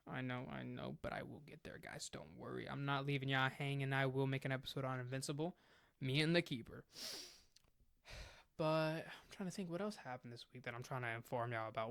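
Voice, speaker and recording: A man's voice: on the high side, dry recording, clean studio sound. A young man in his twenties hosting a podcast alone, chatting casually and jokingly with lots of fillers. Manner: chatty, rambling, jokey, with many fillers. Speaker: podcast host. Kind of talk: casual solo podcast chat.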